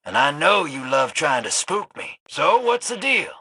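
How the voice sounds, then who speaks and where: A man playing a cynical, irritable rogue gambler in a video game, with a gravelly rasp and a sardonic, slangy drawl. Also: fairly high pitch, big pitch swings, measured pace, sharp tone, no room sound, exaggerated voice. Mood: sardonic, wary. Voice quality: raspy, gravelly. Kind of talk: dramatic video game dialogue.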